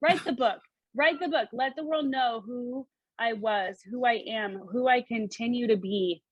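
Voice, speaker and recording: A woman working as a psychic medium, relaying messages in a halting, repetitive, animated way. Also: fairly high pitch, no echo, muffled recording. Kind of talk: spoken reading, relaying messages in quotes.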